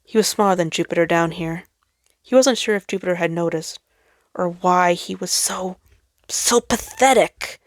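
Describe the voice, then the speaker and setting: A woman's voice: lowish voice, moderate pace, dry recording, clean studio sound. A woman reading a story aloud as dramatic scripted narration. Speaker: fan fiction reader.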